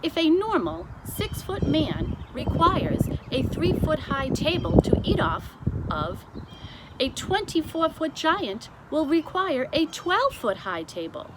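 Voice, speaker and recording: A woman reading a children's story aloud as scripted narration, with deliberate, expressive phrasing. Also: high voice. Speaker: storybook reader. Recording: clearly noisy amateur recording.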